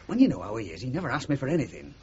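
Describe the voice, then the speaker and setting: Middle-aged Northern English working man, played by an actor: blunt, kindly, animated pitch, dull vintage radio drama recording. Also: racing, lightly acted. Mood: sheepish, kindly. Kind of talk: 1970s British radio drama acting. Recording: dull old radio recording, faint noise.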